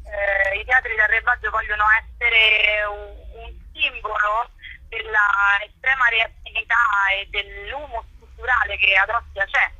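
Bright, husky woman on the phone. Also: medium pitch, fairly even intonation, a little slow, no room sound. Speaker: interviewed festival curator and performer. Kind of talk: live radio phone interview. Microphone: telephone. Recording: tinny roomless phone line.

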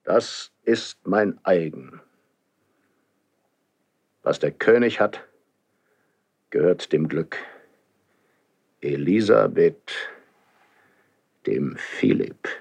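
A man's voice: mid-range voice, gravelly, darkish tone, heavily acted. A man playing a stern, lonely ageing king in classical verse radio drama, speaking in a solemn, declamatory way. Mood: brooding, imperious.

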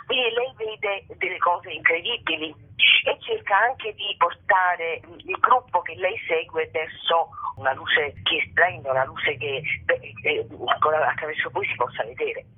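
Husky woman on a tinny phone line. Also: low-pitched. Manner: halting, searching for words with drawn-out pauses. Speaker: playwright and actress, interview guest. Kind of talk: spontaneous radio interview. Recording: narrow, tinny telephone line.

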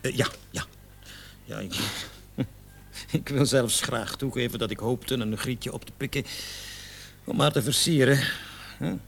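A man's voice: medium pitch, measured pace, gravelly, pauses often. An actor in a radio play, a man playing a cocky travelling salesman in his thirties, defensive and hesitant under police questioning. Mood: hesitant, explaining. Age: 30s. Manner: hesitant, stammering, protesting with nervous chuckles.

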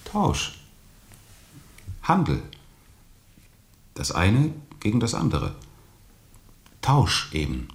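An actor playing a sober, probing questioner in a radio drama, terse and insistent with the witness. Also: male voice, low-pitched, wildly swooping pitch, drawn-out words, gravelly, pauses often, full character voice.

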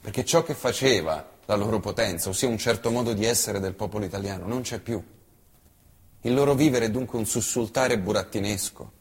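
A man performing a theatrical monologue, with impassioned declamation that swings between loud outbursts and hushed low lines. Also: a little fast, husky, long reverb tail. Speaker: stage actor.

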